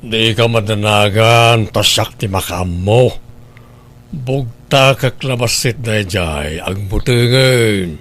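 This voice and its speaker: A man's voice: lowish voice, slow, fairly bright tone. Radio drama husband, man acting: Ilocano, stubborn, defiant brother-in-law.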